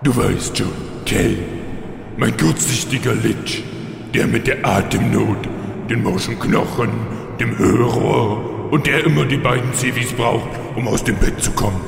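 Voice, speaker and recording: Gravelly, drawling undead king. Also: medium pitch, measured pace. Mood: smug needling. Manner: drawling, taunting, feigned innocence. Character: smug undead Lich King, teasing, mock-friendly villain. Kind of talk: parody fantasy audio drama acting. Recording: very echoey reverberant hall, faint noise.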